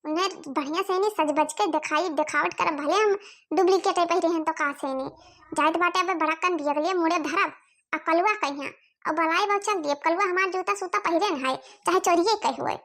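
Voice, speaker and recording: A haughty Awadhi village woman from a cartoon comedy, acted by a woman, with an extremely high, bright and cutting voice. She is showy and sharp-tongued, always complaining and picking at others. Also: fairly quick. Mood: grumbling. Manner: complaining, needling. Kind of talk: comic cartoon dialogue.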